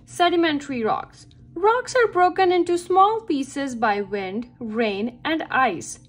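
A woman reading a children's science book aloud with careful phrasing meant for young listeners. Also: high voice. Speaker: read-aloud reader for children.

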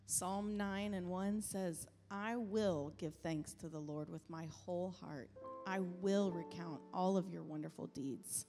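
Calm woman reading scripture on stage. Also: on the low side, unhurried. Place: large church stage. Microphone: handheld mic. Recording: long reverb of a church hall, faint music.

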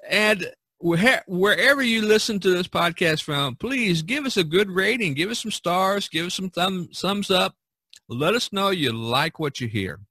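A man's voice: high-pitched, very animated, takes it slowly, sharp tone, breathy edge, no room sound. A man hosting an art podcast in casual chat, speaking in an encouraging way. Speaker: podcast host and artist.

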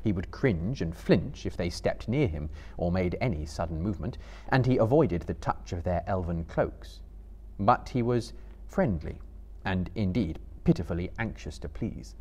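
A man in his thirties giving a measured, theatrical literary narration as a live dramatic reader. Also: low-pitched, animated, a little mellow, husky. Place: seated indoors on a book-lined stage before a live audience.

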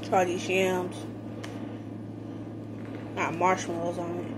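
A woman's voice: fairly low pitch, slow. Young woman chatting while eating. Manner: relaxed, tasting and commenting between bites. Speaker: vlogger. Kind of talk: casual eating vlog chat. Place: small room indoors at a table. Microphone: phone camera close up. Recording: noisy home phone recording.

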